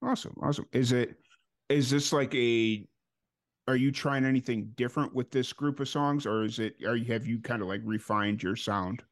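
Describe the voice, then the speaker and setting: A man's voice: mid-range voice, moderate pace. Laid-back male podcast host. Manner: relaxed, rambling questions, frequent uhs and restarts. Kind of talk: casual interview chat.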